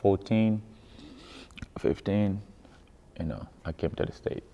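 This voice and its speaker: A man's voice: on the low side, takes it slowly, vocal fry, dark tone, slight background noise. A man from Ghana with a Ghanaian accent telling his story in a casual interview, often repeating words for emphasis. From West Africa, grew up in Ghana. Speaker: musician being interviewed. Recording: echoey hall, dull muffled sound.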